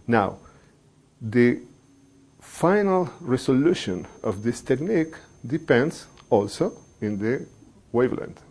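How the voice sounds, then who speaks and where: Middle-aged researcher, measured and halting. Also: male voice, medium pitch, a little mellow. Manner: measured, pausing often with fillers, non-native phrasing. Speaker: engineering researcher. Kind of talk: explaining research to a general audience.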